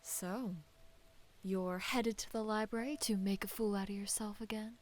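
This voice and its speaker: A woman playing a blunt, sharp-tongued young student in an audio drama, dry and sardonic. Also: mid-range voice, fairly even intonation, moderate pace, lightly acted. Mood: cool, disdainful.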